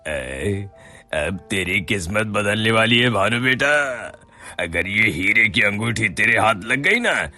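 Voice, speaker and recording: A man's voice: lowish voice, animated, slow pace, bright, forceful tone, soft background music, full character voice. A man voicing a stammering greedy shop boy. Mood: dreamy greedy. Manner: stammers when scared. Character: greedy stammering young male shop worker. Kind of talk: cartoon voice acting.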